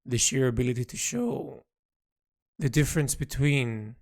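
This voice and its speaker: A man giving a reflective spoken log as an artist, thinking aloud in halting, thoughtful phrases. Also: medium pitch, sing-song, gravelly, no room sound, clean studio sound. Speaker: artist narrating a design log.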